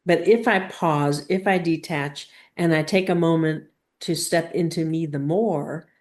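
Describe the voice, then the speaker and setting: A woman leading a spiritual teaching session, speaking in deliberate, halting phrases with emphatic pauses and guiding questions. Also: sits low, a little mellow.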